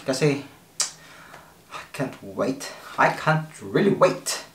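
A man in his thirties with a Filipino accent, mixing Tagalog and English in an excitable home unboxing vlog, recorded in a small kitchen on the camera mic. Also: medium pitch, takes it slowly, echoey room, slight background noise. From Philippines. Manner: mixes Tagalog and English, excitable, self-deprecating. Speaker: vlogger.

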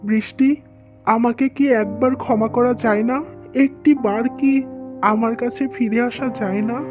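A woman's voice: on the high side, fairly even intonation, unhurried, over music, lightly acted. A woman voicing a stern, easily hurt young husband and son in a cartoon drama. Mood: remorseful, pleading. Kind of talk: dubbed cartoon drama dialogue.

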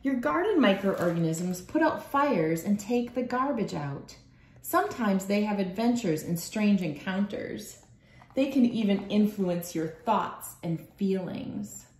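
In a noticeably echoey room at home, a woman in her thirties reads a picture book aloud. Her pitch is average for a woman, and her delivery is measured, like storytime for children. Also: lively intonation, darkish tone. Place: small room at home, indoors.

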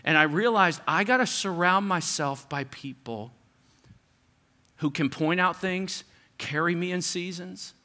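A middle-aged man preaches with a bright, forceful tone and a slightly high voice. He talks to the congregation like a friend, stacking repeated phrases as his intensity climbs. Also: unhurried. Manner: preaching with rising intensity and repetition, conversational asides. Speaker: pastor. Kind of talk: sermon.

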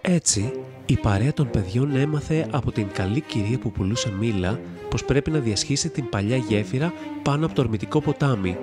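A male storyteller narrates a children's fairy tale in scripted style. His voice sits at a middle pitch for a man. Prominent instrumental music plays behind him throughout. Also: animated.

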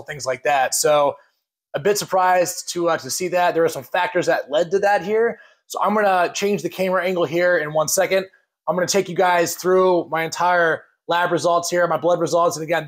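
Man, late thirties, casual frank vlog talk, home kitchen, camera mic across the table. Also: fairly high pitch. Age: 30s. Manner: chatty, frank, restarts and hedges. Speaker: fitness vlogger.

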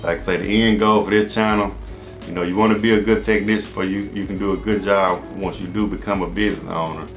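A man's voice: sits low, fairly even intonation, fast, faint music behind. An American man in his thirties giving casual, rambling advice to camera in an informal, repetitive way. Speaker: HVAC business coach and channel host. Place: small indoor office.

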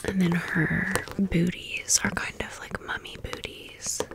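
A woman's voice: on the low side, little pitch movement, slow pace, vocal fry. An American woman whispering in a chatty, rambling ASMR style, as a video creator chatting through a haul and story. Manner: chatty, rambling, repeats words softly.

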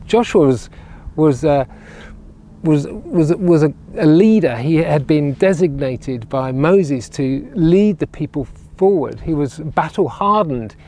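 Man, 40s, outdoor sermon, lapel mic, earnest, dark voice. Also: fairly high pitch, lively intonation, takes it slowly. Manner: earnest, gestures broadly, repeats words when building a point. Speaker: regional minister. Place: outdoors by ruined castle walls on a sunny day. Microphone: clip-on lapel mic.

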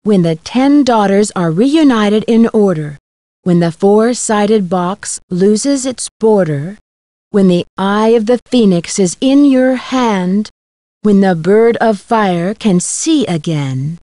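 A woman's voice: medium pitch, animated, slow, mellow, no room sound. An actress playing a curious, upbeat young woman detective in a video game, with an inquisitive, scripted delivery. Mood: slow, solemn reading. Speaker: game protagonist.

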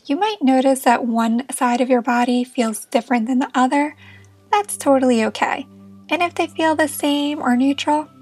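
High-voiced woman guiding a meditation. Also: steady tone, normal speed, husky, soft background music. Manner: gentle, reassuring, encouraging. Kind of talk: guided meditation narration.

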